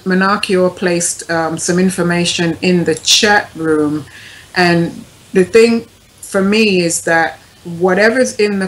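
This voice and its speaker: A woman's voice: on the low side, low-quality recording. A woman talking informally on a discussion panel, explaining things in a careful way. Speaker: talk show panelist.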